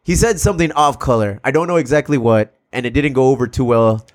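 A man hosting a casual podcast from a room above a brewery. He talks at a medium pitch, swears freely and acts out the people in his animated stories. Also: fast talker. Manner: profane, animated storytelling with voiced quotes. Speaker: podcast host. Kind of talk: casual chat.

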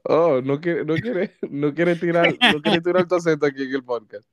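A man's voice: fairly high pitch, moderate pace, a bit bright, dry recording. A man with a Dominican Spanish accent hosting a podcast, chatting casually and teasingly, slipping between Spanish and English. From Dominican Republic. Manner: teasing, jokey, mixes in English. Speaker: podcast host. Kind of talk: casual bilingual chat.

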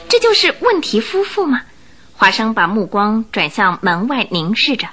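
A woman giving expressive, theatrical storytelling narration for a radio drama. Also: fairly high pitch.

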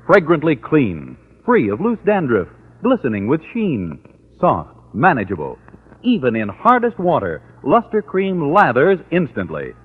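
Husky, very animated American radio pitchman. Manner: emphatic, polished salesmanship. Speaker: commercial announcer. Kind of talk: old-time radio advertising read. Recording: dull, lo-fi old radio recording.

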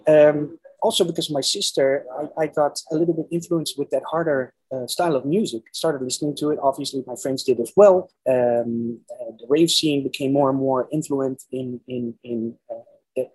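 A man's voice: fairly high pitch, moderate pace, creaky, darkish tone, slightly rough, rough recording. A man in his forties with a Dutch accent, speaking English as a second language, casually telling personal stories as an interview guest and often repeating words as he searches for them. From Netherlands. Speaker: interview guest recounting his musical past.

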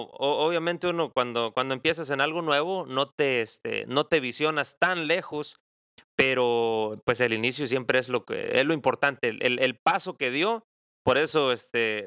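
A man's voice: medium pitch, bright, forceful tone, smooth, dry recording, studio quality. A man in his twenties with a Mexican accent, hosting a casual interview. He is chatty and teasing and uses slang. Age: 20s. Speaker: interviewer, host.

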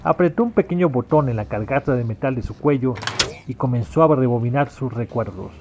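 A man's voice: mid-range voice, brisk, highs cut off, over music. A man reading scripted narration for a sci-fi noir audio drama. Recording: clear background noise, ambient sound effects.

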